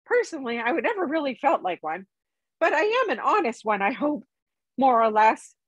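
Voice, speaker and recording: A woman reading a storybook, playing a small, fussy, polite male hobbit, nervous but plucky, with a squeaky voice and chatty, hedging manner. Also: very animated, moderate pace, no room sound, muffled recording. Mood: nervous, sincere.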